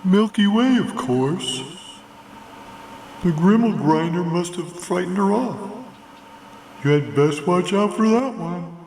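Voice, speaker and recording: A man voicing a drowsy, hard-of-hearing old moon who mishears and drawls slowly in a storybook reading. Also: high voice, animated, a little mellow, roomy sound, slight background noise, voice pushed up, heavily acted, poor audio. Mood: drowsy explaining.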